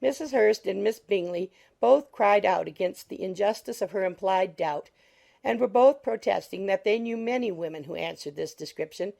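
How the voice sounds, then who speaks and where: A woman's voice: medium pitch, gravelly, mellow. A woman giving scripted literary narration for an audiobook.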